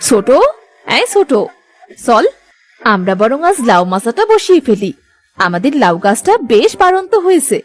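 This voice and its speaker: A woman's voice: on the high side, big pitch swings, normal speed, long pauses. A woman voicing a caring, dutiful elder daughter-in-law in a village cartoon, with a tender, reassuring manner. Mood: tender, pleased. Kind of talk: Bengali moral-story cartoon dubbing.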